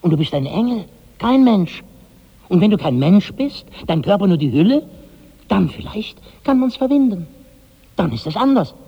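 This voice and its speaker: A raspy, theatrical schemer. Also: male voice, high voice, big pitch swings, moderate pace, full character voice. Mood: astonished. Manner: declamatory, conspiratorial, emphatic. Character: scheming, alarmed, theatrical would-be poisoner. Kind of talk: German 1960s radio drama acting. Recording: muffled old radio recording.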